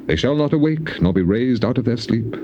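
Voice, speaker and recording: An actor playing a suffering, defiant righteous man in a dramatized scripture recording, declaiming with passion and weighty pauses. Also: medium pitch, animated, lightly acted. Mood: mournful, resigned. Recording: dull, noisy old cassette tape recording.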